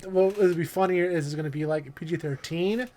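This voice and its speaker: A man with a slightly high voice chats casually as a co-host. He rambles, circles back on his phrases and readily agrees with his friends. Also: brisk, dark tone. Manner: rambling, often echoing and agreeing. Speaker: podcast co-host. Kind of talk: casual group chat among friends.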